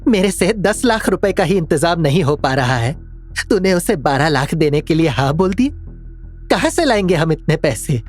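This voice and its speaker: A man's voice: on the high side, big pitch swings, normal speed, faint music behind, pitched above the performer's own voice. A man voicing a distressed, worn-out middle-aged aunty in an audio drama, pleading and reproachful. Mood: upset, reproachful. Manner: pleading, plaintive scolding. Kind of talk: Hindi audio drama acting.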